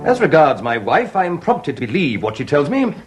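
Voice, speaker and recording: Pompous, huffy English husband. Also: male voice, on the high side, big pitch swings, fairly quick, loud music behind the voice. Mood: stern, huffy. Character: pompous, indignant, suspicious but trusting husband. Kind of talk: British stage farce acting.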